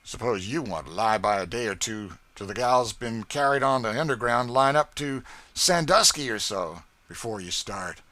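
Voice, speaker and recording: A man reading an audiobook and voicing a loud, domineering slave catcher in a hard, forceful tone. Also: mid-range voice, expressive, moderate pace, few pauses, no echo, pitched above the performer's own voice. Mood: blunt, bossy. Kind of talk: audiobook character voice.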